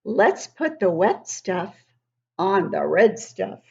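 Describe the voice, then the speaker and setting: A woman's voice: medium pitch, sing-song, takes it slowly, vocal fry, a little mellow, husky, lo-fi sound, exaggerated voice. A woman voicing brave, urgent male firefighters while reading a children's storybook. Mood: confident.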